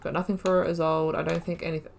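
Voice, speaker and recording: A woman's voice: fairly low pitch, level tone, fast talker, creaky, slight background noise. A woman hosting a game playthrough, reading lore entries aloud and breaking off into casual, rambling asides. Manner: chatty, trails off and restarts mid-sentence. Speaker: gaming video host.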